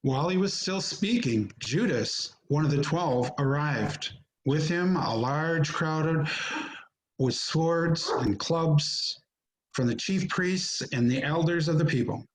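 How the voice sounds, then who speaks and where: A man narrating scripture from a script in a deliberate, solemn manner. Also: on the high side, animated, background noise. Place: remote recording on a video call. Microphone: video call.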